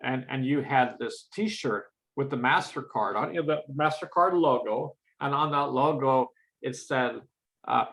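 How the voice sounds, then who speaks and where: Halting, mellow-voiced man on a call. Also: medium pitch, gravelly. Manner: halting, repeats words often. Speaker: guest. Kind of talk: casual chat.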